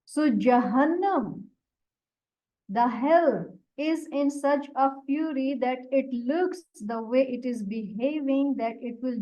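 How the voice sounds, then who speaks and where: A woman whose first language is Urdu, teaching a Quran lesson and explaining Arabic words one by one in a deliberate way. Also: high-pitched, dark tone. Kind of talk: slow explanatory religious lesson. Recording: dull lo-fi recording.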